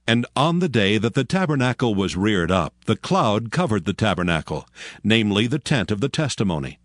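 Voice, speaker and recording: A man reading scripture as a narrator in a solemn, measured, dramatized style. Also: on the low side, very animated, no room sound, studio quality. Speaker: Bible narrator. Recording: music under the voice.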